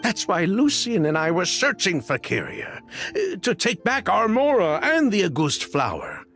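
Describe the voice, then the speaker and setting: A man's voice: high-pitched, big pitch swings, normal speed, a bit bright, faint music behind, heavily acted. An actor playing a bitter middle-aged man, a defiant perfume schemer. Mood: weary.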